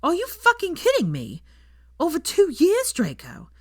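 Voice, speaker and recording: A woman voicing an exasperated, sharp-tongued young woman in an audiobook story, sarcastic and prone to cursing. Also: extremely high pitch, wildly swooping pitch, a little slow, no room sound, clean studio sound, voice pushed up, heavily acted. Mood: outraged. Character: fiery, sweary young woman, exasperated and easily outraged.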